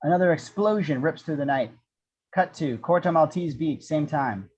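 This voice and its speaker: A man's voice: fairly high pitch, normal speed, a little mellow, breathy edge, highs cut off. A man reading action descriptions as the narrator of an amateur screenplay table read.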